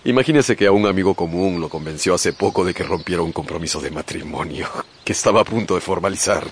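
An actor playing an affable, chatty gentleman colonel in a radio drama, courteous and conversational. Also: sits low, fast, a little hiss or hum, natural voice. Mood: breezy, gossipy.

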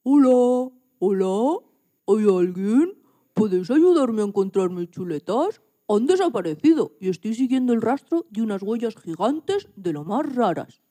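A woman's voice: fairly high pitch, big pitch swings, unhurried, dark tone. A woman voicing a small, anxious and polite piglet in a children's radio play, exclaiming and muttering questions to itself. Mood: polite, hopeful. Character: small anxious, polite young piglet searching for lost chops.

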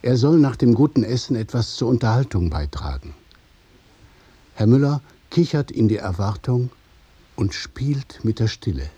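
A man narrating a literary radio play in a measured, observational way. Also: mid-range voice, big pitch swings, mellow, breathy edge. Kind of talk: scripted literary narration in present tense.

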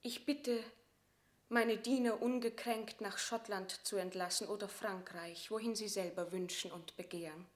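A woman's voice: mid-range voice, fairly even intonation, moderate pace, natural voice. An actress playing a proud, remorseful queen resigned to death, speaking classical verse in a solemn, fervent radio drama style. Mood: resigned, gentle.

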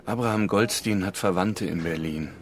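A man's voice: low voice, normal speed, slight background noise. An actor playing an earnest, dutiful junior policeman giving factual reports in a radio drama. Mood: dutiful, matter-of-fact. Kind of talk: modern German radio drama acting.

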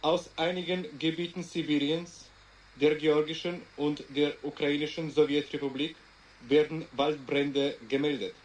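Guy acting stern official govt radio announcer, bit high voice, formal, radio play. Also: flat delivery, moderate pace, lightly acted, low-quality recording. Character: stern male Soviet state radio announcer.

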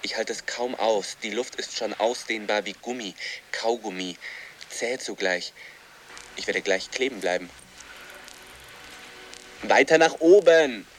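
A man's voice: fairly low pitch, a little slow, pauses often, a little hiss or hum, close to an everyday voice. An actor playing a desperate man trapped inside a computer game, pleading urgently in a radio drama.

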